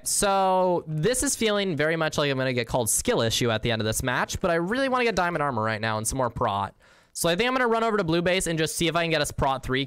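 A man's voice: a little high, a bit bright, clean tone. A young man casually chatting with viewers while playing a game, slangy and easygoing, with the odd swear. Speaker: streamer. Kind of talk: casual game livestream, reading chat.

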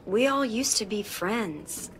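A woman's voice: mid-range voice, takes it slowly, fairly bright tone, slight background noise, low-quality recording. A woman playing an anxious young survivor in a game drama, speaking hesitantly and apologetically. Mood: regretful, troubled. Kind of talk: naturalistic video game drama acting.

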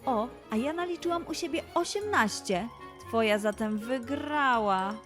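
A woman's voice: on the high side, expressive, unhurried, soft background music, voice pushed up. A woman voicing a playful, encouraging mother lioness who coaxes with game-like challenges in a children's audio play.

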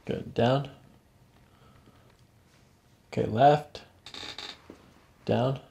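Male chiropractor, thirties, creaky medium-pitched voice, calm clinical delivery, reassuring and matter-of-fact with a patient. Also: drawn-out words, a little hiss or hum. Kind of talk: clinical exam talk, narrating findings to a patient. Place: small carpeted chiropractic office, indoors.

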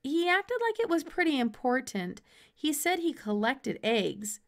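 Woman voicing an excited, high-pitched farm boy. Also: measured pace, heavily acted. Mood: eager, polite. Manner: exclamatory, run-on, earnest delivery. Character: excited, breathless young farm boy, eager and earnest.

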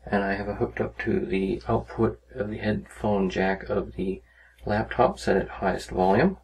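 A man giving a casual, rambling, unhurried demonstration of a hobby project, talking as a maker. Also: on the low side, darkish tone, slight background noise, rough recording. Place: small cluttered indoor workshop.

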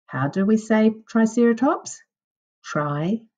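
A woman teacher reading a children's non-fiction book aloud in a deliberate, instructional way for young children. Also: on the high side, expressive, a little mellow. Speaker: primary school teacher.

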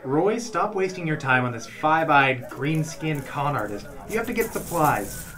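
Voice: mid-range voice, big pitch swings, measured pace, a crowd in the background. An actor playing an exasperated, nagging spaceship sidekick, scolding and incredulous, in a comic radio play.

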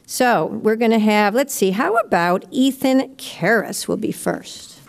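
A woman's voice: a little high, lively intonation, unhurried, creaky. A middle-aged woman, a teacher, informally introducing students at a live stage event. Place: school stage at a podium. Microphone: gooseneck podium microphone.

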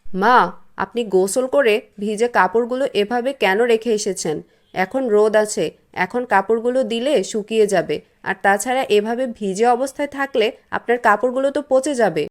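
A woman voicing a curt, grudging young housewife in a cartoon, with a brisk, scolding manner. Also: on the high side, natural voice. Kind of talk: cartoon voice acting.

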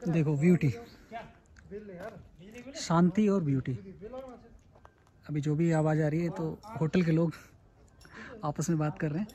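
A man's voice: fairly high pitch, unhurried, long pauses. Chatty man vlogging a hill drive. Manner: chatty, excited about the scenery, sings his own song. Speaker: travel vlogger. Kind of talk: casual travel vlog narration. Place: outdoors on a mountain road.

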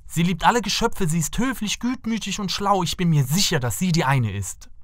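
A man's voice: mid-range voice, sing-song, normal speed, no echo, clean studio sound. A man voicing an earnest, courteous young prince in a fairy tale cartoon, formal in his speech.

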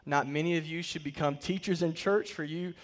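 A man in his thirties preaching a sermon from a church stage, swelling on key words and dropping to quiet line endings. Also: fairly high pitch, fairly even intonation, brisk, long reverb tail. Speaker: pastor. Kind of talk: sermon with scripture reading. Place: large church stage, indoors.

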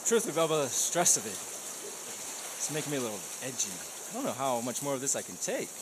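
A man's voice: medium pitch, big pitch swings, brisk, noisy background, lightly acted. A man playing a dim, tactless police officer who complains cluelessly in a comedic audio drama. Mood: whiny, flustered. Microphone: studio condenser mic.

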